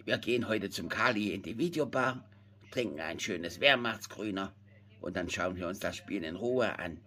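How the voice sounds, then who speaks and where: A man putting on a high, eager voice for a chirpy puppet friend in a homemade puppet play. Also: normal speed, pushed and bright.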